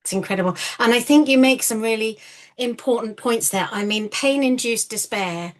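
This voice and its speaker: A woman's voice: fairly high pitch, moderate pace. A woman hosting a podcast interview, affirming her guest and often ending points with tag questions. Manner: affirming, many fillers and restarts, frequent tag questions. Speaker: podcast host. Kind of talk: supportive interview chat.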